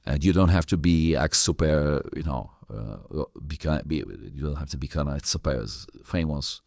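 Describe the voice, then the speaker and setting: A man hosting a casual interview, speaking haltingly and often repeating and rephrasing his words. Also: a bass voice, vocal fry, a little mellow, no room sound, studio quality. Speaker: interviewer, podcast host.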